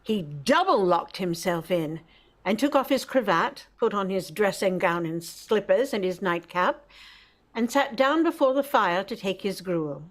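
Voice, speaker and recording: A woman's voice: medium pitch, expressive, unhurried, natural voice. A woman narrator telling a story in scripted style for an old-time radio drama.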